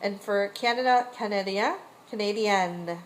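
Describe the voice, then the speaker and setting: A woman's voice: medium pitch, a little slow, slight background noise, rough recording. A woman teacher casually and patiently explaining a listening exercise.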